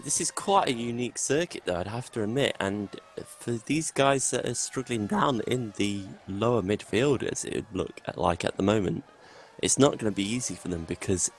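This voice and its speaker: A man co-commentating on a live sim racing session in a chatty, conversational way, his voice a little worn as he is losing it. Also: fairly low pitch. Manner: chatty, conversational, laughs easily.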